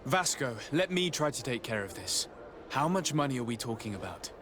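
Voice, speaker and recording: An actor playing a calm, authoritative young nobleman and diplomat in a video game. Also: mid-range voice, normal speed, lightly acted. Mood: firm. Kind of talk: video game cutscene acting. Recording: clear background ambience noise.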